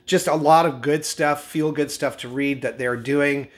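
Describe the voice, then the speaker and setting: A man in his thirties talks straight to the camera from his home kitchen, reviewing skincare products with bright enthusiasm. His voice sits slightly high for a man and carries a nasal, scratchy edge left over from a recent cold. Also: steady tone, a little slow. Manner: upbeat, enthusiastic, addresses viewers directly. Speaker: skincare channel host. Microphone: camera at medium framing, seated facing lens.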